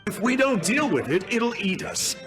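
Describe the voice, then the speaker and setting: A youthful, scheming clerk from a video game, speaks in a high, wildly animated voice. He is pompous and wheedling, piling up fancy words with theatrical self-importance, over clearly audible music. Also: normal speed. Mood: grandly explanatory.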